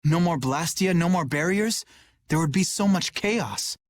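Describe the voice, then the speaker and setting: A man's voice: fairly high pitch, lively intonation, a little slow, no room sound. A man playing an earnest, courteous, worried young man in an English anime game dub.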